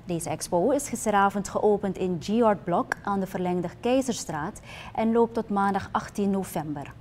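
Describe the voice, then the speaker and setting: A young woman presenting the news from a television studio in a measured, even newsreader delivery. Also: medium pitch, slight background noise. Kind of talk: scripted news narration.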